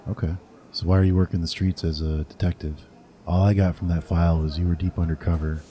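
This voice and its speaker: A man playing a gruff veteran detective. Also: low voice, level tone, fast talker, dark tone, lightly acted. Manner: dry, blunt.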